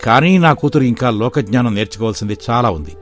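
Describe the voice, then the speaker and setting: A man voicing a gentle village father. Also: mid-range voice, fast talker, soft background music, lightly acted. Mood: tender. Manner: calm, tender, cautious. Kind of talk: animated storybook voice acting.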